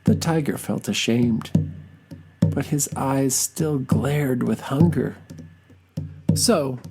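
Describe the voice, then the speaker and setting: A storyteller giving an expressive, scripted reading of a children's folktale with dramatic, deliberate phrasing. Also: mid-range voice.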